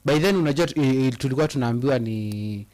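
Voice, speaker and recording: A man's voice: mid-range voice, fast, darkish tone, low-quality recording. A Kenyan man co-hosting a casual live chat, reading viewer comments with impassioned, hesitant delivery. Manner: impassioned, reads comments aloud with hesitant fillers. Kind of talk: casual live chat mixing English and Swahili.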